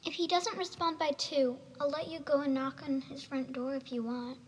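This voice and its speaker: Someone playing high-voiced stern mother, echoing. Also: level tone, normal speed, close to an everyday voice. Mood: matter-of-fact. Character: stern, practical mother of a schoolboy. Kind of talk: amateur radio drama acting. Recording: noisy, long reverberant hall sound.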